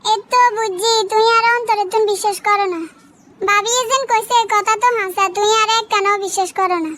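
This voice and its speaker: A woman's voice: very high-pitched, steady tone, brisk, background noise. A woman voicing a gullible, demanding young cartoon wife with a Noakhali Bangladeshi accent and an insistent, nagging manner. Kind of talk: comedy cartoon dialogue.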